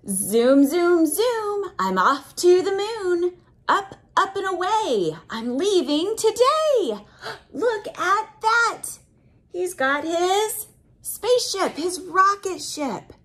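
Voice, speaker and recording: A woman reading a picture book aloud to children, expressive and exclamatory, pausing to explain things simply and put questions to young listeners. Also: extremely high pitch. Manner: expressive, exclamatory, with audible gasps of wonder.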